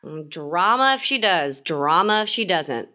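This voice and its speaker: A woman playing an exasperated, cynical theatre insider with a jaded, deadpan manner in an audio comedy. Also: lowish voice, measured pace, full character voice. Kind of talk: naturalistic scripted audio comedy dialogue.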